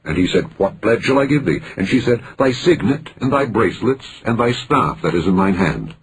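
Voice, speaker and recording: Deep-voiced man reading scripture. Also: very animated, moderate pace, slightly rough, poor audio. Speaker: scripture reader. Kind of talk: solemn scripted Bible reading.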